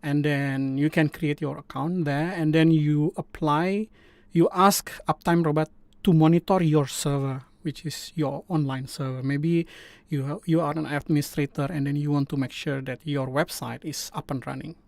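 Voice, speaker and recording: Indonesian-accented man with a gravelly voice. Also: a little high, steady tone, a little mellow, dry recording. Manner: halting, many fillers and restarts. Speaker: live stream host. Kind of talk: casual coding stream chat.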